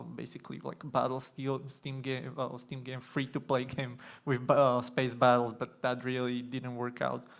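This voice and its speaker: A young man from Slovakia giving a conference talk on stage, nervous and halting, with many fillers and restarts. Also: medium pitch, vocal fry, fairly bright tone, clear, resonant tone. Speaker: conference speaker.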